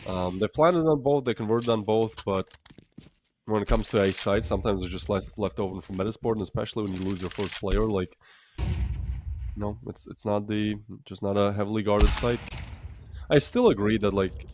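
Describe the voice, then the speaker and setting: A man from the Baltics casting a live esports match, chatty and excitable, breaking into laughs between plays. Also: lowish voice, moderate pace, slight background noise. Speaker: esports caster.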